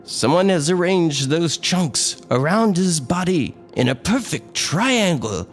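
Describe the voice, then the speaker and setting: High-voiced earnest country doctor, acted by a man. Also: big pitch swings, takes it slowly. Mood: grave, aghast. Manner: earnest, dramatic. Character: grave, alarmed small-town male doctor, older family physician. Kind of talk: radio horror drama acting. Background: faint music.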